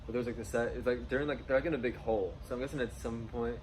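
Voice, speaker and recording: Fast-talking man in excited casual chat, noisy background. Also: mid-range voice. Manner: informal, acts out quoted lines. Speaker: co-host of a movie review. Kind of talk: casual excited fan chat about a film.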